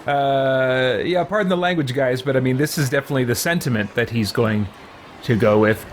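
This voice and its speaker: A man's voice: medium pitch, normal speed, a little hiss or hum. A man hosting a let's play, giving casual, hesitant commentary as he thinks aloud. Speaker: gaming channel host.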